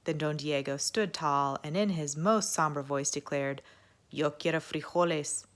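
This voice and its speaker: Female children's story reader. Expressive narration, lower-pitched voice. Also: moderate pace. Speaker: story reader at an elementary school.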